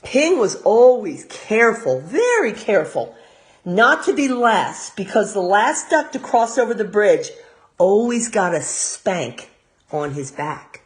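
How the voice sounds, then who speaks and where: An American woman reading a storybook aloud as a teacher of young children, expressive and pausing to explain in simple asides. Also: medium pitch.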